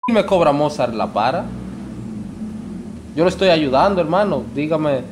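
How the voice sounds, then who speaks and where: A man's voice: on the high side, lively intonation, moderate pace, noisy background. A man in his twenties with a Dominican accent makes a prank phone call, posing as a show promoter in a pleading, insistent way. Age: 20s. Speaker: prank caller.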